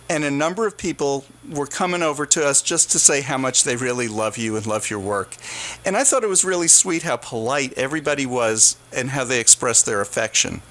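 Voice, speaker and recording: A man hosting a conversational radio interview, asking questions in a somewhat halting way. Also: medium pitch, fairly bright tone. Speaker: radio host. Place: radio studio.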